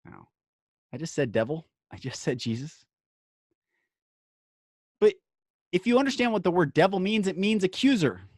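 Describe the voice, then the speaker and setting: A man hosting a podcast, speaking in an earnest, emphatic inspirational monologue broken into short phrases with dramatic pauses. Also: high-pitched, big pitch swings, no room sound, studio quality.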